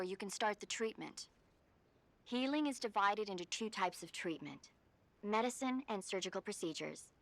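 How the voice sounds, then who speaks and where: Actress doing a calm female army medic from a video game, reassuring, walks you through it step by step. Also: mid-range voice, close to an everyday voice. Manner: composed, reassuring, instructive. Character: calm female military paramedic guiding a wounded soldier. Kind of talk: scripted game tutorial dialogue over radio.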